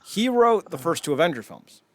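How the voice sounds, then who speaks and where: A man's voice: fairly high pitch, very animated, normal speed, fairly bright tone. A man in his thirties hosting a podcast, giving casual, wry and opinionated commentary on entertainment news with joking asides. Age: 30s. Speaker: podcast host.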